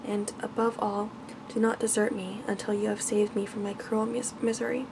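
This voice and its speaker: A woman voicing a tender, noble young prince in a gentle, solemn manner for a bedtime fairy tale. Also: medium pitch, flat delivery, normal speed, vocal fry, noisy background, lightly acted. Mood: tender, pleading.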